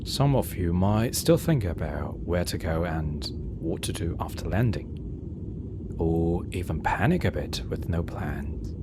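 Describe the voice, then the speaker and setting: A man playing a calm, reassuring airline captain in a soothing ASMR roleplay, speaking softly and breathily, often close to a whisper. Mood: hushed, soothing. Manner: slow, gentle, measured, with long pauses. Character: calm, reassuring male airline captain addressing night-flight passengers. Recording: steady rumbling aircraft cabin noise. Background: low engine rumble ambience.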